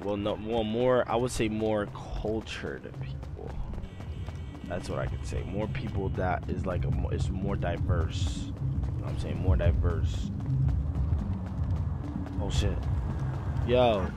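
A man's voice: deep voice, slow, pauses often, loud music behind the voice. An American man streaming an online roleplay game, chatting casually in repetitive slang. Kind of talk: casual gaming chat in character. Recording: clear background noise, game ambience.

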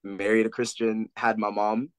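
A man's voice: lowish voice, little pitch movement, moderate pace, clear, resonant tone, no room sound. A young man from Jamaica, a guest on a video call, talking animatedly and often saying "like". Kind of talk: casual video call chat. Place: indoor room.

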